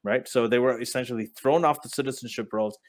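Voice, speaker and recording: A man who grew up in Saudi Arabia and Pakistan co-hosts an online debate over a video call. He challenges the other side with pointed questions and talks over interruptions to finish his argument. His voice sits at a middling pitch. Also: fast talker. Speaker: debate co-host.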